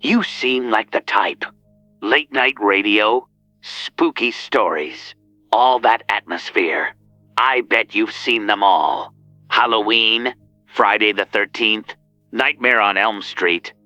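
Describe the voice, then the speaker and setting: An actor playing a menacing male killer who calls a radio show, calm and teasing. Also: on the low side, no room sound, faint music behind. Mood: taunting. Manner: slow, teasing, sinister. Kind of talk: audio drama acting.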